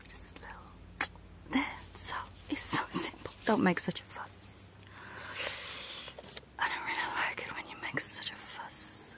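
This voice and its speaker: A woman playing a sinister, scolding evil clown dentist in a breathy ASMR roleplay whisper with drawn-out pauses. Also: on the low side.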